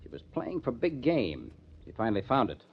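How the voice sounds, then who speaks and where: An actor playing a matter-of-fact police detective captain in an old-time radio crime drama, plainspoken and emphatic, on a muffled vintage recording. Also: male voice, medium pitch, sing-song, moderate pace, creaky, husky, exaggerated voice. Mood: businesslike, emphatic.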